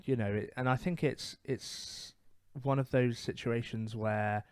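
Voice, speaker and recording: A British man co-hosting a podcast, giving his opinions casually in a halting way as he thinks aloud. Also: mid-range voice, steady tone, darkish tone, smooth, no echo, studio quality. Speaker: podcast co-host. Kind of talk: casual opinionated gaming chat.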